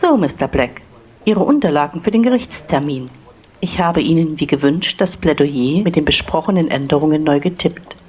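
Woman acting a bossy sure-of-herself head secretary, deep raspy voice that swings around, bit dull sounding. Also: lively intonation, moderate pace, darkish tone. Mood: businesslike. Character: firm, self-assured female head secretary. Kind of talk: German audio drama dialogue.

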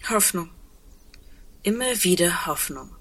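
A woman's voice: on the low side, lively intonation, slow pace, a bit bright, slightly rough, full character voice, low-quality recording. A woman acting in a German radio play as an earnest, insistent woman who clings to hope, speaking intimately and pressing with questions. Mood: probing.

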